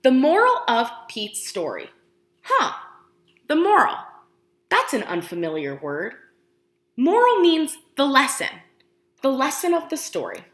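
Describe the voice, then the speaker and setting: Bright, high-pitched, animated woman teaching preschoolers. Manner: encouraging, asks questions and pauses for answers; exaggerated, expressive emphasis for young children. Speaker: preschool teacher reading aloud. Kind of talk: animated children's read-aloud and lesson. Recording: roomy indoor echo.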